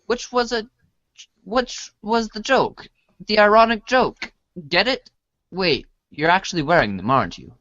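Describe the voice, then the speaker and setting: A man's voice: high voice, animated, a little slow, bright, forceful tone, no echo, dull audio, heavily acted. A man playing an earnest, easily defensive, uncool teenage boy in a casual comic reader's theater. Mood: incredulous.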